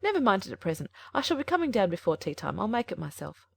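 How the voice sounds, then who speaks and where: Demure older servant, cool and quick with a lively lilt and a dry close sound, as a woman narrator puts her on. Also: mid-range voice, vocal fry, no echo. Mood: composed. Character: composed, secretive older female servant. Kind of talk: audiobook character voice.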